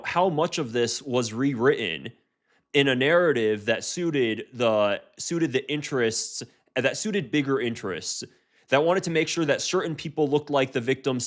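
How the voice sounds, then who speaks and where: An American man giving heated reaction commentary, often restarting his sentences. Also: medium pitch. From United States.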